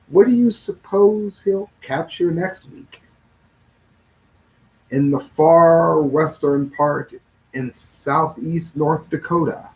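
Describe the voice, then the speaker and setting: A man's voice: fairly high pitch, a little mellow, low-quality recording. A man reading a children's rhyming storybook aloud in a theatrical sing-song way, stretching phrases and sometimes stumbling over words. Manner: stumbles and corrects himself while reading. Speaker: storybook reader.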